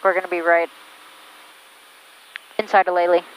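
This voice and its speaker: A woman's voice: on the low side, level tone, moderate pace, slight background noise, poor audio. A woman flying a small plane, giving clipped radio calls and talking herself through the approach over a headset intercom. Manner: clipped checklist-like callouts. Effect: aviation intercom and radio headset. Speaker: pilot flying instrument approach. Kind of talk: cockpit radio calls and self-narrated flying. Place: small airplane cockpit in flight. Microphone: aviation headset.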